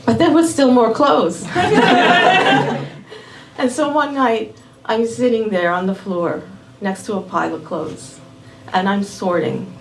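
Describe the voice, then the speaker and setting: A woman raised in Miami telling a personal story live at a small venue, unhurried and measured, dropping in Spanish words. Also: on the high side, animated, creaky, background noise. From born in Puerto Rico, raised in Miami. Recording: roomy venue audio from a distant mic.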